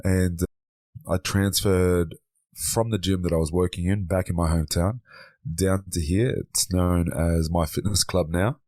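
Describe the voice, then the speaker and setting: A man, a guest on a podcast interview, talking in a relaxed way and often repeating words as he thinks. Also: low-pitched, unhurried, no room sound. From Australia. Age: 30s. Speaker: podcast guest, men's coach.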